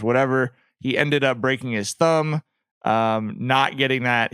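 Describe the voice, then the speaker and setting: An American man in his late thirties hosting a casual sports podcast, talking in a halting, digressive way. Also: mid-range voice, a bit bright, clear, resonant tone, no room sound, studio quality. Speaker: podcast host. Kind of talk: casual sports talk with co-hosts.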